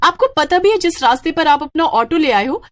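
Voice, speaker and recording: A woman's voice: high voice, lively intonation, brisk, pitched above the performer's own voice. A woman voicing a frightened, indignant young woman passenger in a horror story, scolding and urgent.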